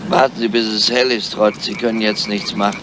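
An actor playing a crafty, weary, grumpy police inspector in a radio crime drama, speaking in a dry, measured, deliberate way. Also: male voice, fairly low pitch, level tone, lightly acted. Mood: matter-of-fact, probing. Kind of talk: 1970s German crime radio drama acting. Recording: noisy, dull old radio drama recording.

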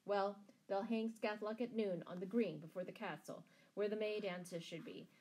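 A woman's voice: mid-range voice, fairly even intonation, normal speed, darkish tone, close to an everyday voice. A woman voicing a wry old male pilgrim in a storybook, speaking in rustic dialect phrasing. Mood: regretful.

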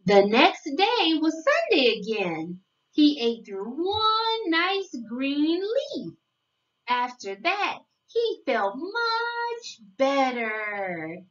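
High-pitched, sing-song female storybook narrator. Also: big pitch swings, fairly bright tone, far from the mic, lo-fi sound. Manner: sing-song, deliberate phrasing. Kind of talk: read-along storybook narration for young children.

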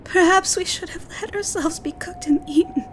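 A woman voicing a frightened young girl, high and tearful. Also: measured pace, slight background noise. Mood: fearful.

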